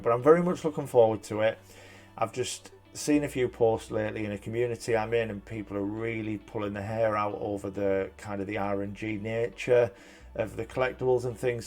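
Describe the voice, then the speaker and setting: A man's voice: fairly low pitch, darkish tone. Chatty man reviewing trophies. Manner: chatty, rambling, frequent fillers and restarts. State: slightly hoarse. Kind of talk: casual commentary.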